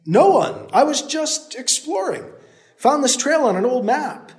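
Wry wary male adventurer, shouting, joking, voiced by the narrator. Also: very high-pitched, very animated, measured pace, pitched above the performer's own voice, full character voice. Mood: sheepish. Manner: sheepish, stalling, wry.

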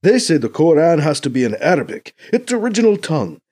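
A man voicing an exasperated, cautious church leader in an audiobook, earnest and gently challenging. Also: on the high side, big pitch swings, a little fast, pitched above the performer's own voice.

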